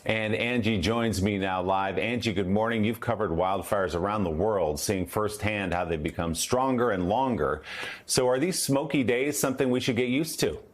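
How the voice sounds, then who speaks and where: A male anchor introducing a correspondent in a smooth, conversational way. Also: lowish voice, normal speed. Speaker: news anchor. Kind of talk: anchor handoff.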